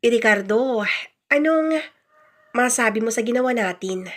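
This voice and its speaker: A woman's voice: on the high side, expressive, moderate pace. A woman voicing a scheming older mother-in-law in a radio drama, pleading and accusing with heavy emotion. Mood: coaxing. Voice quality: soft, coaxing tone that turns sharp when crossed. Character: Mami, scheming older mother-in-law, wheedling then indignant.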